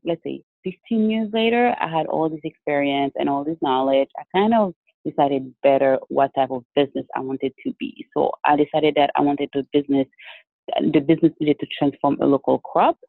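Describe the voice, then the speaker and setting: A man's voice: a little high, vocal fry, no echo. Man on a tinny line. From Haiti. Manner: measured, explanatory, with frequent restarts. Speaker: guest entrepreneur. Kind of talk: interview answers. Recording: dry, tinny phone-like line.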